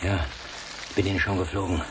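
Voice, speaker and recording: Actor as deep-voiced, bold escape leader. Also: male voice, little pitch movement, fairly quick, far from the mic, background noise, close to an everyday voice. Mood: cool, alert. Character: bold male escape leader and spaceship pilot, cool, decisive. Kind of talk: German radio play acting.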